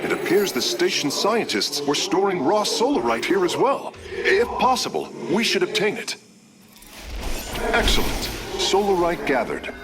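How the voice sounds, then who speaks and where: A man's voice: sits high, wildly swooping pitch, a little slow, heavily acted. A man playing an earnest, precise alien scientist in a video game, speaking in a formal, eager way. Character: earnest, scholarly male alien engineer. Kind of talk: video game cutscene acting. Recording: loud game music underneath.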